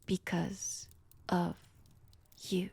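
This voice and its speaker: Woman acting as ancient vampire villainess, French accent, unhurried delivery, seductive, mocking, menacing, breathy whispers, dry intimate audio drama. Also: fairly low pitch, level tone, darkish tone, no echo, heavily acted. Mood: menacing, gloating. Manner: slow, taunting, theatrical, dropping often into breathy whispers.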